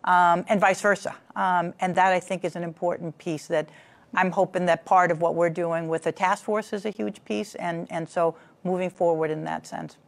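An older woman in her sixties speaks on a studio panel, her voice notably deep for a woman. She talks in a measured, deliberate way, pausing on ums as she lays out her points through a lapel mic. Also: flat delivery, creaky. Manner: measured, deliberate, filler-laden. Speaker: city health commissioner panelist. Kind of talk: panel discussion. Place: studio stage with curtain backdrop.

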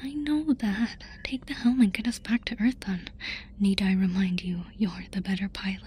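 A woman voicing a playful, affectionate alien abductor in a soft, casual, coaxing whisper for an ASMR roleplay. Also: mid-range voice, fairly even intonation, moderate pace, lightly acted. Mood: uneasy. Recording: noisy ambience behind close whisper.

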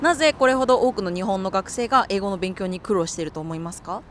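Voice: mid-range voice, quick pace, a bit bright. An off-camera interviewer asking questions in a friendly, encouraging way on a street. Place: outdoors on a street. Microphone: handheld mic. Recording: outdoor street noise.

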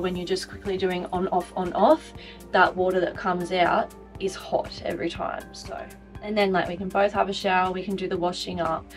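A young Australian woman chatting casually as she shows round her caravan, upbeat with plenty of ums and likes. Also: mid-range voice. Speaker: vlogger showing a caravan. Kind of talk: casual walk-through chat. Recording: faint music under the talk.

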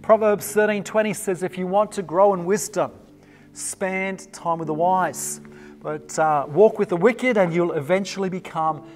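Australian man preaching casually, slightly high voice. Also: unhurried, soft background music. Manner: conversational, relaxed preaching with frequent fillers. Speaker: pastor. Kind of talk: recorded online sermon. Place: small indoor set with warm lights. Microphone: lapel mic.

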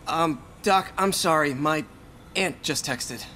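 An American actor voicing a friendly, encouraging young superhero mentor in a video game, with a casual coaching manner. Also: male voice, fairly high pitch, takes it slowly, sharp tone. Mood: apologetic.

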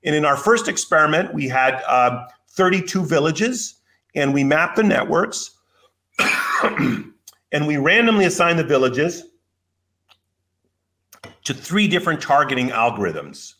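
An older man lectures from a home office over a webcam, explaining ideas step by step in a measured way. His voice sits a little high for a man, and he pauses on fillers and restarts as he thinks aloud. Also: lively intonation, bright, forceful tone. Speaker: keynote speaker. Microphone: video call webcam.

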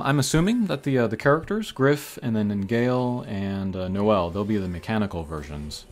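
Man, low pitch, casual gaming commentary, hesitant thinking aloud, music behind. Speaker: gaming channel host. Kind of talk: casual commentary over mobile game pulls. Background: game music.

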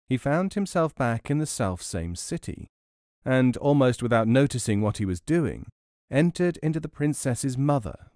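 A man narrates a storybook in scripted audiobook style. His telling is measured and expressive. The sound is dry, with no room on it. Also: mid-range voice, dry recording.

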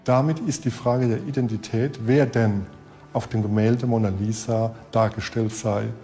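A man's voice: fairly low pitch, fairly even intonation, normal speed, mellow, soft background music. A man speaking as an expert, explaining things in a documentary interview.

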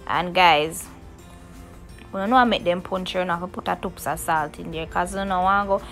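A woman's voice: fairly low pitch, normal speed, fairly bright tone, faint music behind. A woman hosting a cooking tutorial, talking viewers through each step and often checking in with "okay, guys" and "right?". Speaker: cooking show host.

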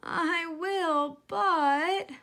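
A woman's voice: very high voice, extremely slow, sharp tone, voice pushed up, heavily acted. A woman voicing a small, sly male piglet in a children's storybook, sighing and coaxing with mock innocence. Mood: wheedling. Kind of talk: children's storybook read-aloud.

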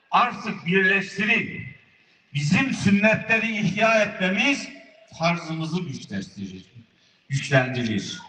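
A man delivering a fervent sermon, his voice pitched high and sharply bright. It rings out over loudspeakers and echoes from a distance, with faint crowd ambience underneath. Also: a little hiss or hum. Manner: impassioned, rising to high fervent cries. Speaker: preacher. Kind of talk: emotional Islamic sermon. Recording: echoey public address from far off.

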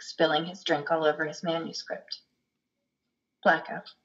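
A woman's voice: deep voice, a bit bright. A middle-aged woman reading stage directions as a scripted narration. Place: room at home. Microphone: video call, computer microphone. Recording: dull video call audio.

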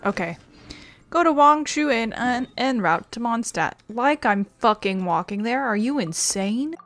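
A woman's voice: fairly high pitch, animated, measured pace, a bit bright, soft background music. A woman giving casual, sarcastic, sweary commentary as she plays a game. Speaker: gaming streamer.

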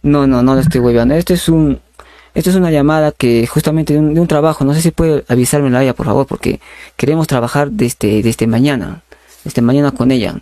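A young man with a soft, dark tone speaks close to the microphone in a very dry space. He plays a businesslike caller, polite but insistent, often stumbling back over his own words. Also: mid-range voice, fairly quick, dry recording. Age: young adult. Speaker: prank caller. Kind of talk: phone prank, posing as a businesslike recruiter. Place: small bedroom studio indoors. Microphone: condenser microphone on a boom arm, close.

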